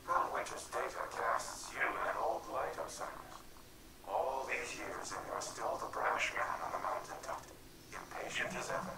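A gravelly, whispering machine intelligence, cold and formal, in a low register with steady pacing. He sounds detached and ancient, as in a game cutscene. Heavy noise sits under the capture. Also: normal speed. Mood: calm. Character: ancient warmind AI in a robot frame, cold and formal. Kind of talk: video game cutscene voice acting.

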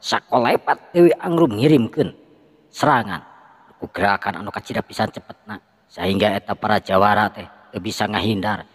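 A man with a Sundanese accent tells a folk tale in a lively, dramatic manner. His voice sits low. A long reverb follows his lines. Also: normal speed. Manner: lively, with shouted sound effects. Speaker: storyteller.

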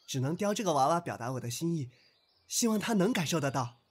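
A man's voice: a little high, lively intonation, fast, slightly rough. An actor in an audio drama playing a naive, proud young swordsman from the ancient past, earnest and courtly in his wording. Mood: innocent, earnest.

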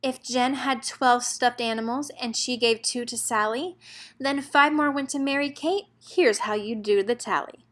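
High-voiced woman reading a picture book. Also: a little slow, fairly bright tone. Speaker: read-aloud narrator. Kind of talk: children's picture book read-aloud.

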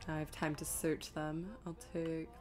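A woman's voice: low-pitched, monotone, normal speed, music in the background. A woman giving casual live commentary as she streams a video game, muttering to herself in an exasperated, self-deprecating way.

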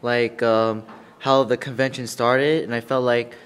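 A man's voice: mid-range voice, flat delivery, measured pace, a bit bright, smooth. A man in an audience giving casual, conversational feedback. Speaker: audience member filming for YouTube.

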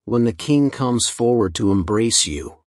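A woman voicing a deep, sly jackal. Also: expressive, a little slow, darkish tone, dry recording, studio quality. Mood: scheming. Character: cunning male jackal, scheming and persuasive. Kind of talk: storybook reading.

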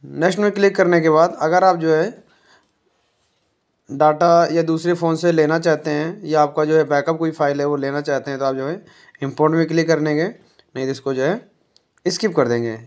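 A man calmly walking viewers through a phone reset step by step, often filling pauses with "jo hai". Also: a little high. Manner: unhurried, explanatory, fills pauses with "jo hai". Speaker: tech tutorial presenter.

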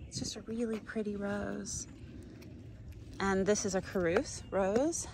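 A woman giving a casual, unhurried tour of her potted roses, talking as she films on a pier. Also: mid-range voice. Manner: slow, relaxed, thinking aloud with fillers. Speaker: gardening channel host. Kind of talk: casual walking garden tour commentary. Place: outdoors on a wooden pier over open water. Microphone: handheld phone camera, speaker off screen. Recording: outdoor phone recording with ambient noise. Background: outdoor ambience.

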